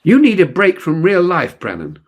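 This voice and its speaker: Man reading as a firm, insistent mother, pitched up. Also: huge pitch swings, normal speed, gravelly, exaggerated voice. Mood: firm insistence. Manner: decisive, no-nonsense.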